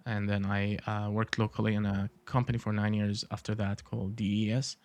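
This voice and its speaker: A man's voice: on the low side, flat delivery, brisk, a little mellow. A man from Iraq, an architect in his forties, chatting as a podcast guest, with many fillers and dry humor. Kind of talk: casual interview talk.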